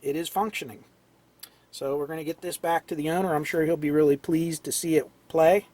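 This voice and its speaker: A man with a hoarse, fading voice, casually explaining an old radio repair at an electronics workbench, clearing his throat now and then. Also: a little high, fairly quick. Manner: relaxed, talks while tinkering, frequent throat clearing. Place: cluttered electronics workbench indoors.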